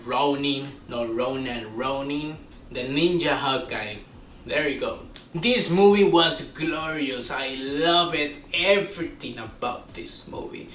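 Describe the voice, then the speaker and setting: A man hosting a casual, unscripted movie review, talking like an excitable fan with drawn-out exclamations. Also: medium pitch, recorded from a distance. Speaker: host of a movie review show. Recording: roomy echo, off-mic, faint background noise.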